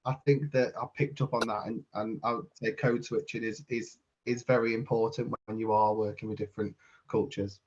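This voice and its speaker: A man's voice: on the low side, fairly even intonation, normal speed, husky, muffled recording. A man with a British accent sharing reflections in an online group discussion, hesitant and repeating words as he thinks. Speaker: community engagement worker. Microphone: video call.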